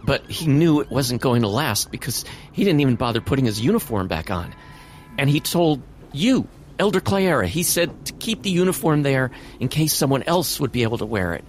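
This is a man acting a high school boy thrust into heroism in an audio drama. He sounds unsure and halting, in a mid-range voice with faint ambient noise. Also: big pitch swings, close to an everyday voice. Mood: puzzled. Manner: hesitant, stammering. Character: nervous, self-doubting American high school boy hero.

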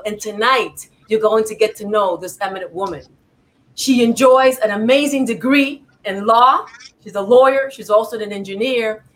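A woman's voice: a little high, a bit bright. A woman hosting a talk show, introducing her guest with gushing, repetitive praise.

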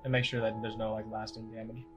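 A man with a fairly low voice plays in a tabletop game. He casually narrates his character's actions in the third person, with music clearly audible underneath. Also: level tone, quick pace. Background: background music.

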